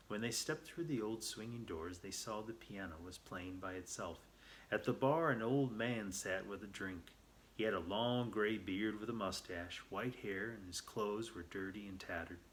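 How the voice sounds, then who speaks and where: A man's voice: fairly low pitch, normal speed, a little hiss or hum. A man reading a children's story aloud in an earnest, unpolished way, stumbling now and then. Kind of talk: read-aloud narration.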